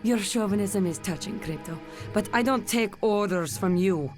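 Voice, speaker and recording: A woman's voice: mid-range voice, moderate pace, music in the background, natural voice. An actress playing a determined, businesslike female spy in a video game, with firm, pointed retorts. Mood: indignant. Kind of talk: video game cutscene acting.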